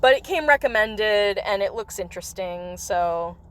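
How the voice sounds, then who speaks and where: Woman, livestream host, casual rambling chat, slightly raspy voice, laughs often, mid-pitched. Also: lively intonation, normal speed, fairly bright tone. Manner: rambling, chatty, with frequent laughs. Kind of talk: casual chat while playing a mobile game.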